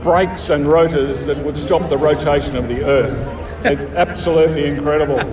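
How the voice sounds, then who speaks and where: An Australian man from the country speaks as an enthusiastic club member, often pausing to find his words. His voice sits a little high and carries from a distance, with echo, over an outdoor PA. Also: fairly even intonation. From rural Australia. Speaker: car club member being interviewed. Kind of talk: casual interview. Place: outdoors at a motor show. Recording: echoey outdoor PA, distant, crowd chatter. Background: crowd.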